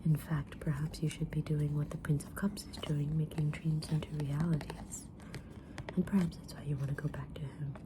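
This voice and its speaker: A woman playing a kind, mysterious tarot-reading witch in a gentle, breathy whisper for an ASMR roleplay. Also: low voice, flat delivery, noisy background, natural voice. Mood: knowing, soothing. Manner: gentle, deliberate, soft-spoken, drawn-out pauses.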